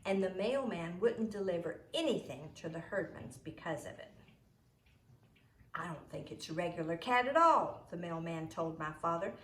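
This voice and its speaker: A woman reading a story aloud expressively, with deliberate, emphatic phrasing. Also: on the low side, lively intonation, reverberant.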